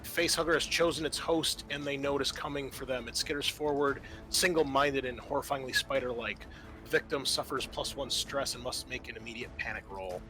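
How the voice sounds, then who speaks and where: A man acting as game master, improvising narration in a halting, colloquial way with some swearing. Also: medium pitch, pushed and bright, faint music behind, low-quality recording.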